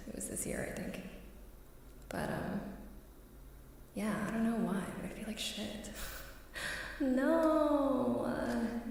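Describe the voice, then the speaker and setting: A woman streamer chatting softly in ASMR style, often slipping into a breathy whisper, hesitant and sounding unwell. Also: medium pitch. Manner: slow, hesitant, halting, apologetic. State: unwell, queasy. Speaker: live streamer. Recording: very echoey, distant, faint background noise.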